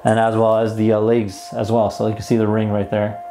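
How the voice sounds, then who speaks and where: A man's voice: lowish voice, completely flat delivery, brisk, music in the background. Easygoing man demonstrating a gadget. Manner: easygoing, mildly enthusiastic. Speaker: host of a toy review channel. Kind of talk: casual hands-on product demo. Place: plain indoor room at a table.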